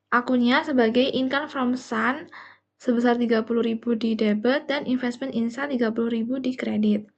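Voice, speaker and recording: A woman's voice: on the high side, highs cut off. A young woman, a student presenter explaining material step by step in a classroom-style presentation. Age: young adult.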